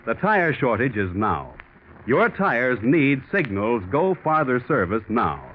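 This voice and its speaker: A narrow, tinny old radio recording with faint noise carries a male announcer. He reads a scripted 1940s commercial formally and emphatically, with lively swings in pitch. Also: takes it slowly.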